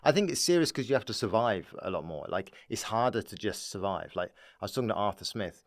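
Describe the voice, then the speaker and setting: A man's voice: on the low side, expressive, fast talker, no echo, studio quality. A man talking as a comedian guest in a casual chat, rambling and often repeating his words.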